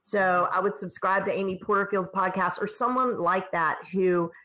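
A woman of middling pitch talks as a guest on a podcast. She makes online courses and speaks in a chatty, conversational way, with lots of ums and uhs. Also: fairly even intonation, moderate pace, pushed and bright, poor audio. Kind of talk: podcast interview.

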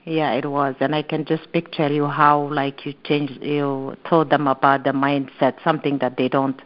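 A woman host telling a lively personal story in a casual interview, with many fillers. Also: low-pitched, moderate pace, highs cut off. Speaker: podcast host.

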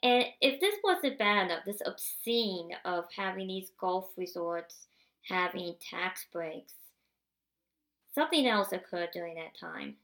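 A woman's voice: fairly low pitch, lively intonation, unhurried, a bit bright. A woman hosting a podcast, telling a personal story in a conversational, self-correcting way that builds to indignant emphasis. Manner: conversational, with repeated restarts, rising to indignant emphasis. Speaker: podcast host. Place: at home, seated in a wheelchair.

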